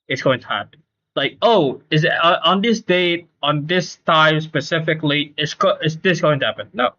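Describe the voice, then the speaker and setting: Male streamer, fairly high voice, casual live game commentary, repetitive emphatic explanations, slightly muffled lo-fi mic. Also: a bit bright.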